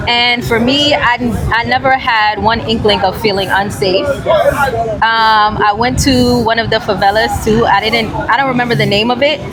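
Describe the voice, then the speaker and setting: A woman from Brooklyn, chatting casually and firing off quick teasing questions. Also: on the high side. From Brooklyn, New York. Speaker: interviewee, fellow traveler. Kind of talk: casual chat. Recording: noisy street crowd behind.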